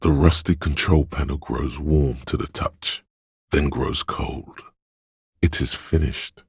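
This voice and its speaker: Brooding, wry inner-monologue game narrator; rumbling bass, heavy gravel, deliberate phrasing, dry close sound. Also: male voice, steady tone, no echo, heavily acted. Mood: solemn. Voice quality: gravelly, husky, very deep. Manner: measured, deliberate, literary second-person narration.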